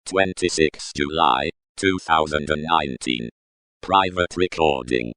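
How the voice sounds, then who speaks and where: A man playing an impersonal announcer who gives terse date stamps in a flat, clipped way for a radio play. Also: a bass voice, measured pace. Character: impersonal deep-voiced announcer of recording dates.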